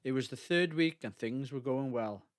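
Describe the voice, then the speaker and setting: A man's voice: medium pitch, moderate pace. Candid man with a vlog diary. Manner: reflective, candid, a little self-deprecating. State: congested from a cold. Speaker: vlogger. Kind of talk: mix of scripted voice-over narration and casual diary-style talk.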